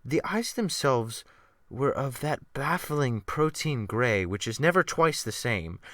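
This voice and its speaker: A man narrating a classic novel as a dramatic audiobook, with deliberate, expressive phrasing. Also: medium pitch, no room sound. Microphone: close USB desktop microphone.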